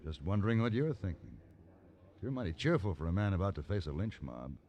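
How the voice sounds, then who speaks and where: A man playing a cool, fearless hired gunman in an old-time radio western, wry, unhurried and commanding. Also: sits low, lively intonation, darkish tone, highs cut off. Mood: wry, calm. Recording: vintage radio recording, crowd murmur behind.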